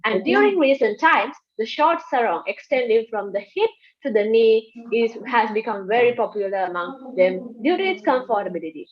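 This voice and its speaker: A woman's voice: on the high side, expressive, moderate pace. Sri woman on a muffled recording. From Sri Lanka. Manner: informal, with fillers like uh. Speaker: student presenter. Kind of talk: prepared presentation. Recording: muffled lo-fi audio.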